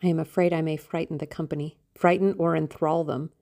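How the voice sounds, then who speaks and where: A woman's voice: deep voice, little pitch movement, fairly quick, creaky, dark tone. A woman voicing a dreamy, impulsive teenage girl in love, theatrical and exclamatory, in an audiobook. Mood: rapturous. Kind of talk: audiobook character acting.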